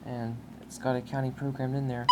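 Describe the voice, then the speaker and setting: A woman's voice: extremely low pitch, flat delivery, brisk. A woman giving casual hands-on commentary, thinking aloud in a matter-of-fact way, recorded in a basement. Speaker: hobbyist video creator. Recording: noisy camera recording in basement.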